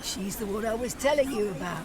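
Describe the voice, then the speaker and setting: An actress playing a brash, chatty, jokey older working-class wife in an audio drama. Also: female voice, medium pitch, animated, a little fast, crowd noise, natural voice. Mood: brash, friendly. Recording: noisy outdoor street ambience.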